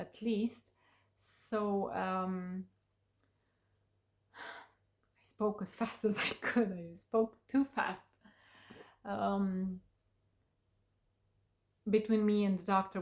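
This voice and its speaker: A woman whose native language is Romanian, speaking English in an unhurried, casual way to her viewers and pausing often with fillers. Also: medium pitch, darkish tone. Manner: unhurried, with many fillers and pauses. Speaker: vlogger. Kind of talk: casual talk to camera.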